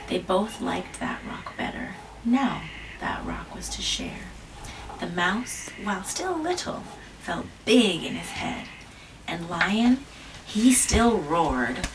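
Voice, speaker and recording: A woman reading a children's picture book aloud, gentle, with dramatic whispers and asides. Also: mid-range voice. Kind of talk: read-aloud storytelling. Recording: distant mic with background hiss.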